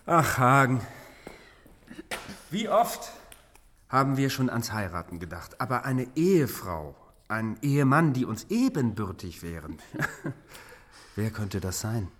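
An actor playing an insecure, easily led king in a fantasy radio drama, husky, affable and self-doubting. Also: mid-range voice, sing-song, slow pace, long pauses, a bit bright, slight background noise. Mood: wistful.

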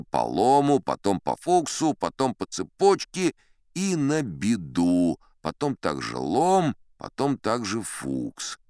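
A man with a low, resonant voice narrates an adventure tale. His delivery is theatrical and highly varied in pitch, with dramatic pauses that shape each phrase like a stage storyteller. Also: a little mellow, smooth, no echo, studio quality. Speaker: audiobook narrator. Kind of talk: expressive scripted adventure storytelling in first person.

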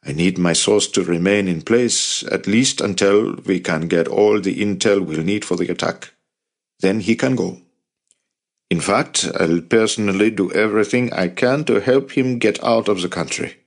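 A man voicing a low, determined resistance fighter. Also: normal speed. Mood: resolute. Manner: earnest, blunt, persuasive.

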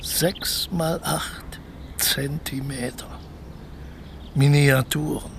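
An actor in a naturalistic radio play playing a sly, embittered male ex-convict, speaking deliberately and often dropping close to a whisper. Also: on the high side, fairly even intonation, creaky, noisy background, heavily acted. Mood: secretive, savouring. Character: scheming, embittered ex-convict man plotting a lucrative burglary.

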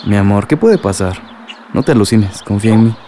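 Mellow young man, acted, easygoing and reassuring. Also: on the low side, animated, a little fast, a little hiss or hum, lightly acted. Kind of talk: radio drama acting.

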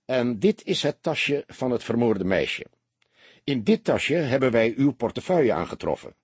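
Man playing a calm, laconic police detective, slightly husky. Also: medium pitch, no echo. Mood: laconic, pressing. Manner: unhurried, dry, politely provocative. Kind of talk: audiobook character voice.

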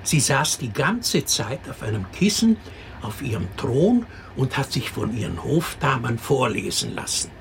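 Slow, expressive, creaky-voiced fairy-tale storyteller. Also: mid-range voice. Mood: patient storytelling. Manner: slow, patient, expressive, explaining to a child. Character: storyteller telling a child a princess fairy tale. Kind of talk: radio drama fairy-tale telling. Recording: noticeable background noise.

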